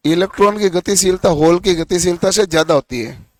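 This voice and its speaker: A hoarse male teacher giving a classroom lecture, asking questions and answering them himself. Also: high-pitched, a little fast, no room sound.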